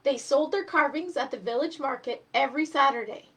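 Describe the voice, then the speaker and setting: Casting: female storytime host and reader, high voice, expressive delivery for young children. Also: a little fast. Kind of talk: live children's storytime reading.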